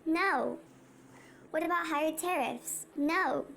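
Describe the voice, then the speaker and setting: A woman's voice: high-pitched, slow, gravelly, fairly bright tone, a little hiss or hum, full character voice. A woman playing a beleaguered, anxious male president in an amateur history puppet show. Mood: defensive, firm. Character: beleaguered male president Martin Van Buren, anxious and defensive.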